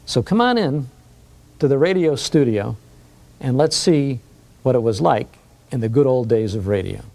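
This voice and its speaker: A man's voice: medium pitch, lively intonation, moderate pace, warm tone. An older American man presenting a scripted introduction to camera as host. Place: recording studio control room, indoors.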